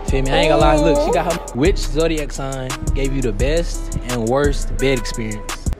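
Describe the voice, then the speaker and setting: A young American man hosting a casual street interview, playful and animated, talking in heavy slang. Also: mid-range voice, moderate pace. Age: young adult. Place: large indoor shopping mall. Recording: loud music over mall interview.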